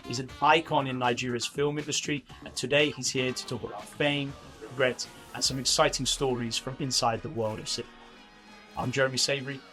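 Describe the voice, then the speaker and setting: A man from the UK hosting an interview podcast, chatty and quick to laugh along. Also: mid-range voice, level tone, bright, forceful tone, faint music behind. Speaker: podcast host.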